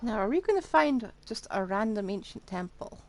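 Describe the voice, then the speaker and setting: A woman's voice: on the high side, big pitch swings, moderate pace. A woman giving casual live commentary as she streams a video game. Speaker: game livestreamer.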